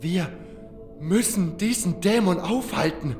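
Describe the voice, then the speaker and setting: A man's voice: high-pitched, slow, darkish tone, echoey room, faint music behind, voice pushed up. A man voicing a cautious, earnest young male mage in acted fantasy audiobook dialogue. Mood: wary.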